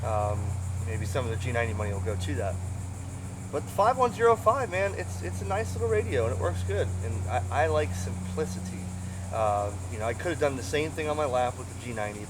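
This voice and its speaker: A middle-aged American man talks casually as a hobbyist vlogger, rambling along with easy enthusiasm in a mid-range voice. He is recorded outdoors on a camera mic, with clear ambient noise and insects behind him. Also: medium pitch. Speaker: ham radio hobbyist and video host. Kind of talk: casual unscripted gear review vlog. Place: outdoors on grass beside a pond under shade trees.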